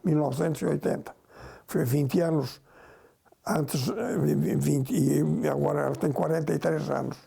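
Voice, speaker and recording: An older man from Portugal tells his own story in a documentary interview. His voice is very gravelly and dark, a little high for a man. He speaks slowly, stopping and restarting his words. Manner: halting, repeats and restarts words. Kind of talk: recalling his past in an interview.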